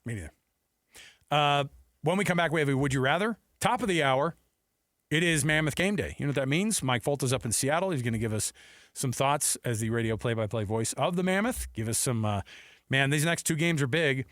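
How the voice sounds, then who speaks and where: A man's voice: medium pitch, lively intonation, brisk, a bit bright, no room sound, studio quality. A man hosting a sports radio show in casual talk, often acting out imagined quotes.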